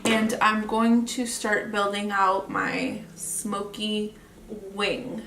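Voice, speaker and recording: Woman, Mexican accent, slow casual talk, some distance from the mic, faint hiss. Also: mid-range voice, level tone, a bit bright, echoey room. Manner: casual, chatty, trailing off while working. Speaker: beauty vlogger. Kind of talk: makeup tutorial talk.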